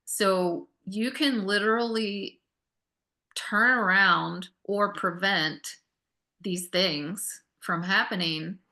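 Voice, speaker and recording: A woman's voice: mid-range voice, fairly even intonation, slow, bright, forceful tone. A woman guest on a talk show, earnestly explaining food and health with emphatic lists. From United States. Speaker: guest nutrition expert. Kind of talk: interview conversation.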